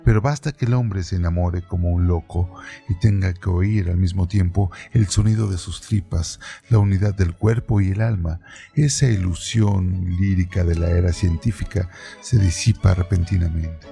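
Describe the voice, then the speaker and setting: A man giving a measured, deliberate scripted reading of a literary text as narrator of a radio-theatre piece. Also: low-pitched, steady tone, gravelly, mellow, soft background music.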